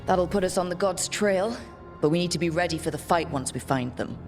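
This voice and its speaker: Woman playing game hero lady in charge, deep for a woman, steady n determined, bit of music under it. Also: fairly quick, natural voice. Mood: resolute. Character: determined woman leader of a band of heroes, steady and blunt. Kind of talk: video game cutscene acting. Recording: music behind the voice.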